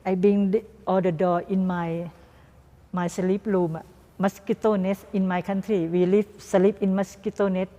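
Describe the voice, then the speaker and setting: A woman from Thailand with a Thai accent, a guest artist answering interview questions in halting, simple non-native English and repeating words. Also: medium pitch, little pitch movement, mellow. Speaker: guest artist and doll maker. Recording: reverberant room.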